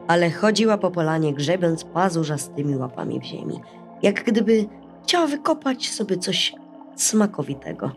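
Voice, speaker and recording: A woman storyteller narrating a bedtime fairy tale in an expressive, scripted style. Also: low-pitched. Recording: music under the voice.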